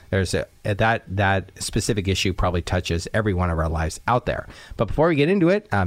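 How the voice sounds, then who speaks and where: A man hosting a casual radio talk show, a bit hoarse from a cold, upbeat and rambling with lots of fillers, talking into a studio microphone. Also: lowish voice, no room sound.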